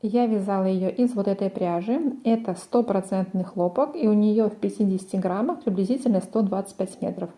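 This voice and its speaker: Dark, mellow-voiced woman giving craft instruction. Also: mid-range voice, a little fast, hardly any pauses. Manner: methodical, explanatory. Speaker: crochet tutorial host.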